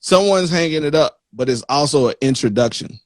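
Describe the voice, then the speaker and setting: A man's voice: a little high, animated, brisk, no room sound. A man hosting a casual live-stream interview, speaking informally with slang and thinking aloud in short repeated phrases. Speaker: interviewer, show host.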